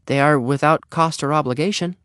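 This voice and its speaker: A man's voice: on the high side, big pitch swings, moderate pace, no echo, clean studio sound, voice pushed up. A man voicing an earnest, eager young schoolboy trying to sound businesslike in a storybook reading. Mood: lame, hopeful. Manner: hesitant, flustered salesmanship.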